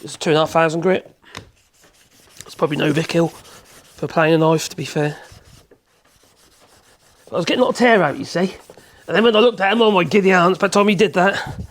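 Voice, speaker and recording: A man with a cold, hoarse, chatting in a rambling way as he demonstrates in his workshop. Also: high-pitched, fairly quick, long pauses. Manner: rambling, chatty, self-correcting, chuckles. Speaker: woodworking channel host.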